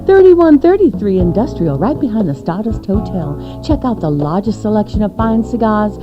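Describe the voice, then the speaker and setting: A woman's voice: mid-range voice, sing-song, normal speed, dull audio, over music, natural voice. A woman playing a flirtatious, coy dame in a radio commercial sketch. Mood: flirty, sultry.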